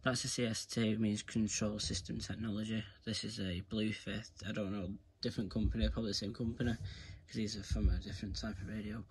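A man with a fairly low voice walks viewers through a hands-on demonstration in a casual, chatty way. He is recorded indoors, with clear background noise and clicks from handling objects. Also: little pitch movement, brisk, hardly any pauses, poor audio. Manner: informal, addresses viewers as "guys". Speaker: video creator giving a tutorial. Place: indoors, carpeted room.

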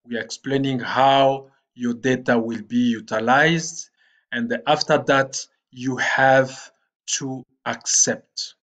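Slow, halting man giving a screen tutorial. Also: medium pitch, steady tone, a bit bright. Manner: slow and halting, with frequent "uh" fillers. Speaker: online platform trainer. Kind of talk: screen-recorded tutorial walkthrough.

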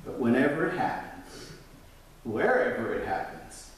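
A man's voice: mid-range voice, sing-song, a little slow. A middle-aged man, a pastor preaching a sermon at the pulpit, swelling up and then dropping to a near whisper. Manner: gestures widely at the pulpit. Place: large church sanctuary. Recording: echoey church from a distance.

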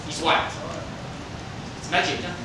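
A middle-aged man from France with a French accent, presenting a tasting to a group as a winemaker in halting, simply phrased non-native English. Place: indoor hotel function room. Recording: roomy, distant microphone with background noise.

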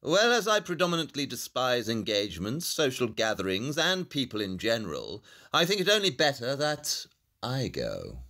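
A man's voice: mid-range voice, wildly swooping pitch, normal speed, sharp tone, heavily acted. A man playing a brilliant, aloof detective in an audio play, with a British accent and precise, pompous speech. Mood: dry, superior. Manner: precise, pompous, clipped deduction. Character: brilliant, aloof, arrogant male London detective.

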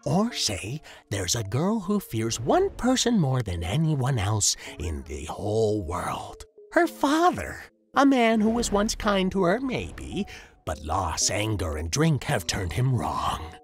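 A man's voice: medium pitch, huge pitch swings, slow pace, soft background music. A man voicing a weary, menacing shapeshifting clown monster in an audio story, with a theatrical, exasperated way of lecturing. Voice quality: gravelly, swooping voice.

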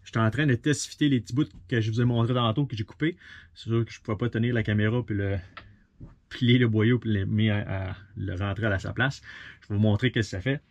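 Québécois man in his thirties, DIY boat vlogger; relaxed, talky, lots of fillers, close action-camera sound in a small cabin. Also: fairly low pitch, a little fast, lo-fi sound. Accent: Québécois French. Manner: relaxed, thinks aloud with fillers, mixes in English boat terms. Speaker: sailboat owner showing a refit. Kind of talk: casual how-to explanation.

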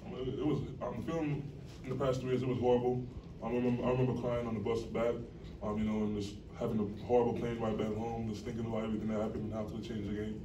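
A man's voice: mid-range voice, racing. A young man, a college basketball player, answering at a postgame press conference in an earnest, rambling way. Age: young adult. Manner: earnest, rambling with many fillers. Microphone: table mic, recorded from across the room. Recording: echoey room, distant mic, steady noise.

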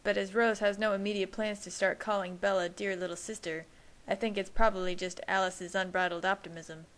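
A woman's voice: mid-range voice, fairly even intonation, brisk, fairly bright tone, close to an everyday voice. A woman voicing a sarcastic young man who teases his brother in a wry tone. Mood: teasing.

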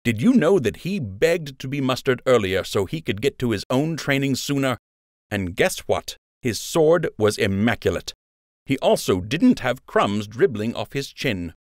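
A man's voice: mid-range voice, very animated, a little slow, dry recording, clean studio sound, pitched above the performer's own voice. A man voicing an angry, scornful rabbit officer in an audiobook, stern and sarcastic as he harangues. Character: angry, scornful rabbit lieutenant berating a young soldier.

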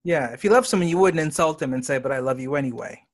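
A man interviews an actress about her roles, prompting her with calm, probing questions. His voice stays mid-pitched and even. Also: fast. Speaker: interviewer, writer-director.

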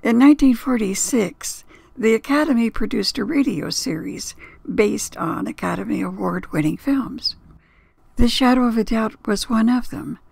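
A woman reads a scripted introduction in a heavily gravelly, husky voice. The sound is dry and close, and she presents in the manner of a programme host. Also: on the high side, moderate pace, darkish tone, dry recording, low-quality recording. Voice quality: creaky, husky. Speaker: presenter introducing a radio play. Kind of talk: scripted narration.

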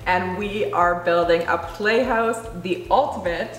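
A woman's voice: lowish voice, measured pace, echoey room. A woman in her thirties co-hosting a home DIY vlog, chatty and upbeat with teasing asides. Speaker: co-host. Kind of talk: casual DIY vlog chat. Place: home studio, indoors, seated beside co-host.

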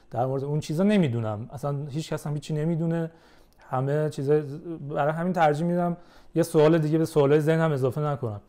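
A man talks as a podcast guest in an informal, explanatory way. His tone is mellow and soft-edged, pitched a little higher than most men. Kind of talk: casual explanatory chat.

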